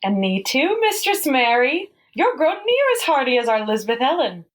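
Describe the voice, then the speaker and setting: A woman's voice: high voice, big pitch swings, moderate pace. Woman playing a motherly countrywoman. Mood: tender. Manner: tender, homely, dialect speech. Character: warm motherly Yorkshire countrywoman.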